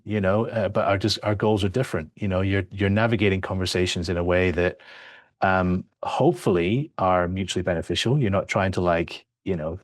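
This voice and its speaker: A man's voice: low-pitched, no room sound, clean studio sound. A man in his thirties, a filmmaker guest, talking reflectively in a podcast interview, with frequent restarts and "you know" fillers. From born in Australia, raised in several countries.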